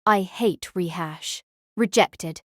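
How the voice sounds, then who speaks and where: A woman playing a cool, deadpan young woman senpai who talks in a flat, demanding way, in an audio drama. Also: fairly low pitch, slow pace, dry recording, clean studio sound. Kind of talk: amateur audio drama acting.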